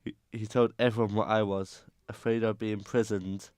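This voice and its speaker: A man's voice: on the low side, flat delivery, moderate pace, darkish tone, no room sound, clean studio sound, close to an everyday voice. A man playing a guarded young circus singer with a secret, speaking earnestly in an amateur radio drama. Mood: confiding, sad.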